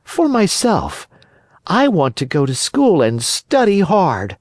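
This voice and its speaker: A little wooden puppet boy from a storybook, played by a man, high in pitch and very animated. He sounds naive, earnest and eager. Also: takes it slowly, no room sound, studio quality, exaggerated voice. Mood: proud, eager. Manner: eager, guileless.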